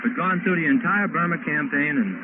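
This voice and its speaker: A man's voice: on the high side, brisk, narrow, tinny audio, background noise. An American man, a soldier and songwriter, chatting as a guest in a 1940s radio interview recorded on a wire recorder. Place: enlisted men's club at a party.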